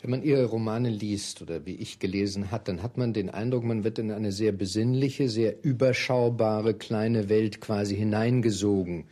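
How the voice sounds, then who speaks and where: A man interviewing in a formal, courteous manner, putting long, prepared questions in measured sentences. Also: lowish voice, mellow.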